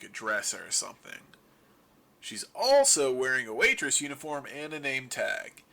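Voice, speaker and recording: A man's voice: medium pitch, sharp tone, exaggerated voice. A man playing a wry survivor of a zombie apocalypse in an audio drama, rambling to his listeners in a casual, sarcastic, self-deprecating radio monologue. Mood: casual, curious.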